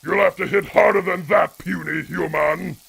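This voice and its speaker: A brutish ape warlord. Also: male voice, high voice, steady tone, normal speed, vocal fry, fairly bright tone, a little hiss or hum, full character voice. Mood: gloating. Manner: commanding, sneering threats. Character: menacing male ape soldier leader, brutish. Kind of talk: audio drama acting.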